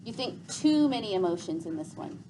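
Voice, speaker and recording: A woman's voice: medium pitch, very animated, moderate pace. A woman teacher reading aloud to a class and leading discussion, restating points as she goes. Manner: restates and sums up what others say. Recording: clear background noise.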